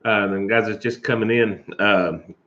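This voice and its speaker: A rambling, chatty man hosts a live stream, chuckling as he talks and stopping to cough from allergies. His voice sits fairly low, and the recording sounds dull and lo-fi. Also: fairly even intonation, unhurried, a bit bright. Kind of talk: casual chat with live viewers.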